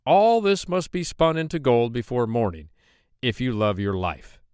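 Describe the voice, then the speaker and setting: A man's voice: mid-range voice, very animated, normal speed, a little mellow, clear, resonant tone, no echo, clean studio sound, lightly acted. A man voicing a greedy storybook king, imperious and emphatic as he gives orders. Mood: commanding. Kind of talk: storybook character voice.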